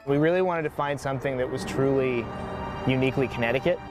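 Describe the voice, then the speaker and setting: A man's voice: medium pitch, fast. American man interviewed, prominent music behind. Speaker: team representative being interviewed. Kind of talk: casual interview talk.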